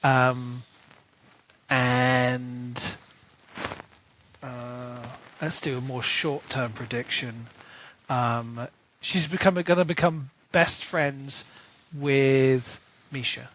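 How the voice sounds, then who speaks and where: A man's voice: mid-range voice, little pitch movement, extremely slow, a bit bright, a little hiss or hum, rough recording. A man co-hosting a podcast in a casual, conversational book discussion. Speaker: podcast co-host.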